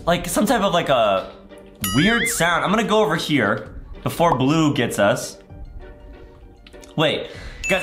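Gaming host: man in his twenties, big theatrical kid-friendly commentary, unhurried pace, echoey room. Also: sits high. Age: 20s. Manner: exaggerated, theatrical reactions with shouts and drawn-out words. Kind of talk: excitable gameplay commentary for kids. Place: indoor room with bare walls.

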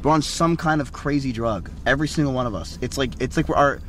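A young man bantering sarcastically and quickly, swearing and teasing in a car at night. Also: mid-range voice, a bit bright. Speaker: streamer. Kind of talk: casual banter.

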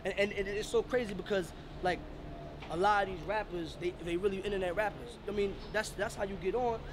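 Fast-talking rapper man in noisy alley. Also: sits high, steady tone. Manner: emphatic street slang, repeats himself. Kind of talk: casual interview.

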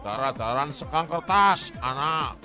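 A man's voice: expressive, measured pace. A man with a Banyumasan Javanese accent doing a joking parody of a radio store ad. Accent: Banyumasan Javanese (Ngapak). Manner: mock-salesy, joking pitch. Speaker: parody ad announcer. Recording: old radio recording over music.

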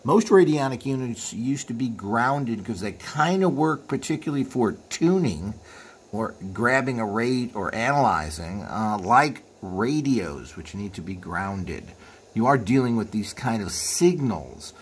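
A man's voice: medium pitch, lively intonation, unhurried. A man hosting an informal hands-on walkthrough, explaining things in a rambling way as he films indoors. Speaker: host of a vintage equipment video channel. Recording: clear background noise, room ambience.

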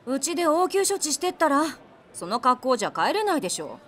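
Sharp-tongued bar mama, high voice, bouncy delivery. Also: female voice, sing-song, a little fast. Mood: impatient. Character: brash flashy snack-bar mama, bossy adult woman. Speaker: snack bar owner. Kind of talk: audio drama acting.

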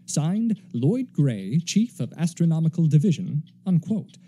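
An actor playing an earnest, excitable male news reporter in a 1930s-style radio drama, formal but prone to stammer. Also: on the high side, a little slow, breathy edge, full character voice. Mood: formal, composed. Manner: formal announcer delivery, stammering when flustered.

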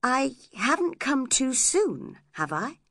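A woman voicing a polite, sensitive young gentleman from a storybook, earnest in manner. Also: high voice, very animated, takes it slowly, no room sound, clean studio sound, voice pushed up, heavily acted.

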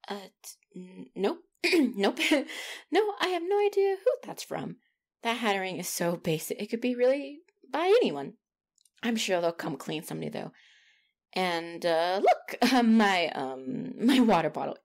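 A woman acts out a young demigod trainee in an audio roleplay. She is feisty and chatty, easily flustered, with a lively swing in pitch. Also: measured pace. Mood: flustered, nervous. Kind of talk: ASMR roleplay acting.

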